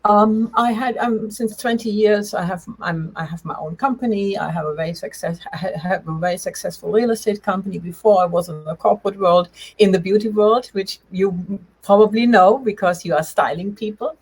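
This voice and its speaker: A middle-aged woman from Germany speaks English with a German accent in a slightly husky voice. She is an interview guest sharing personal experience. Her delivery is halting yet emphatic, often repeating key words for weight. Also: mid-range voice, a little mellow, poor audio. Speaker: interview guest, change coach. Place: remote video call.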